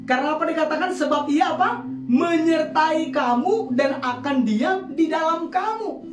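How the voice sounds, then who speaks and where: A woman's voice: sits high, moderate pace. Woman from medan preaching, keeps asking the crowd questions, roomy echo, guitar music under her. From Medan, Indonesia. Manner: asks rhetorical questions, calls for amen responses. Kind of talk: sermon teaching. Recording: echoey room, distant mic, music behind.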